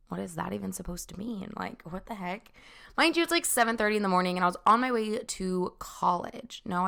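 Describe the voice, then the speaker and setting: A young woman telling a personal story in a casual, chatty way, full of fillers and quick asides. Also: mid-range voice, creaky, sharp tone. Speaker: vlogger.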